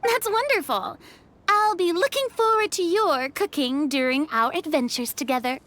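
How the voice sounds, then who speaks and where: A woman's voice: extremely high pitch, normal speed, a bit bright. A woman voicing an earnest, polite young noblewoman in a video game, articulate and a little theatrical. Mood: encouraging.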